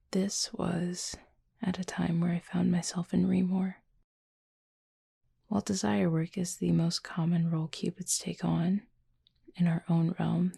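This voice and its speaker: A woman plays an affectionate Cupid roommate in an intimate audio roleplay. The voice is low and mellow, often dropping to a breathy near-whisper. It moves in slow, measured, slightly formal phrases, with creaky edges and a tender, wistful temper. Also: exaggerated voice. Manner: slow, measured, formal storytelling with soft pauses. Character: gentle adult Cupid roommate, wistful, affectionate, softly teasing.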